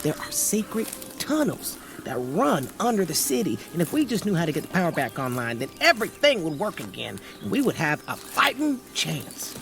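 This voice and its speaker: A performer playing a plucky, folksy rebel guide in a sci-fi audio drama, earnest and animated. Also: medium pitch, normal speed, few pauses, over music. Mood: earnest, eager. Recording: faint ambience noise behind.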